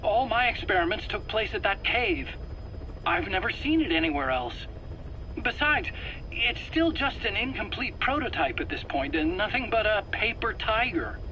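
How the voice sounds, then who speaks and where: A man's voice: high voice, sharp tone, heavily acted, rough recording. A man playing a defensive, rambling weapons scientist under interrogation in a video game, hesitant and prone to stammering as he explains. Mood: baffled, agitated. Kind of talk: dramatic video game voice acting. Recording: faint background noise on cassette recording.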